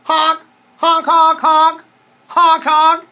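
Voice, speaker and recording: Woman voicing a playful, animated storybook dinosaur. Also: on the high side, a little slow, husky, voice pushed up, heavily acted. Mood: playful, boastful. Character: friendly, playful male dinosaur in a picture book.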